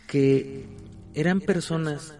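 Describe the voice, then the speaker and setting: A man's voice: on the high side, takes it slowly, warm tone, faint music behind. A man, co-hosting a casual chat show and telling stories with many restarts. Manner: storytelling, many restarts and filler words. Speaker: podcast co-host. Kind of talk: casual chat about the paranormal.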